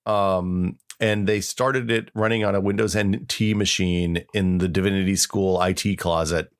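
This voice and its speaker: A man's voice: sits low, steady tone, moderate pace, clean tone. A man hosting a podcast, chatting casually and telling stories in an informal, wryly exaggerated way. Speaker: podcast host. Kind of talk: casual chat with reminiscing and reading aloud.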